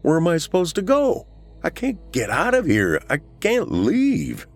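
A man's voice: sits low, sing-song, slow, faint music behind, heavily acted. A man voicing the wistful, frustrated ghost of a middle-aged man, plaintive, in an audiobook. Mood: upset.

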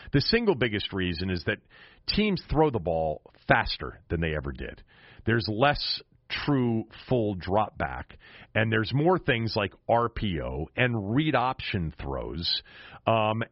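A man hosting a sports podcast, giving conversational commentary and emphatically restating his points. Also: fairly low pitch. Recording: roomy, dull lo-fi audio.

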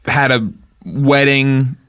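A man on a comedy podcast, telling a story in a deadpan way with halting restarts. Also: mid-range voice. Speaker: podcast co-host. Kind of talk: improvised comedy podcast banter.

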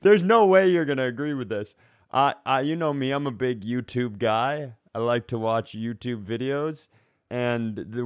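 A man's voice: mid-range voice, smooth, dry recording. A man with a mid-range voice talks casually as a comedy podcast co-host. He rambles through his stories in a self-mocking, sweary way. The sound is close and dry, with hardly any room around it.

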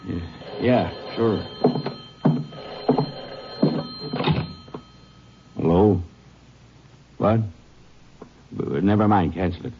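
A man's voice: deep voice, slow pace. A man playing a defensive, evasive western murder suspect in a 1950s radio drama, curt and agitated. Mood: evasive, uneasy. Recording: muffled old radio recording, faint hiss.

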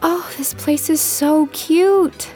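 An actress playing a young tarot-reading psychic who is confident and teasing. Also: female voice, sits high, takes it slowly, dark tone, faint music behind. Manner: teasing, dry, self-assured. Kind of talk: comedic audio drama.

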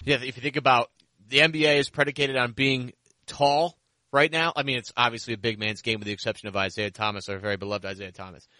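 Man, podcast host, bright forceful voice, close dry sound, emphatic sports patter. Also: medium pitch, fairly even intonation, quick pace, no echo. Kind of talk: sports talk and host-read ad.

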